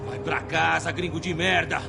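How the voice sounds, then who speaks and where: An actor playing a contemptuous Brazilian police commander in a video game, aggressive and sneering, with a Brazilian accent and native Portuguese. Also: male voice, on the high side, flat delivery, brisk, vocal fry. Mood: menacing. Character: hostile Brazilian police commander, contemptuous, hot-tempered villain. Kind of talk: cinematic game acting. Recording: loud music underneath.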